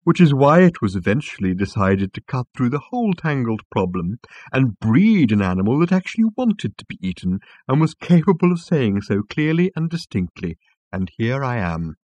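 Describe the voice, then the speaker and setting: A man voicing a large, fat, obliging talking cow in an audiobook, with a contented, deferential, lowing delivery. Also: big pitch swings, normal speed, darkish tone, dry recording. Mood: earnest, obliging. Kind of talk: audiobook comic science fiction character.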